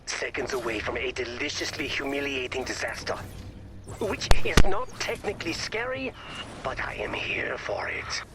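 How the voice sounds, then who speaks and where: A man's voice: medium pitch, lively intonation, moderate pace, very noisy, natural voice. Man voicing a pompous, swooping brain villain. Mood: gleeful. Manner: pompous, wordy, gleefully theatrical. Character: gloating, theatrical giant brain villain.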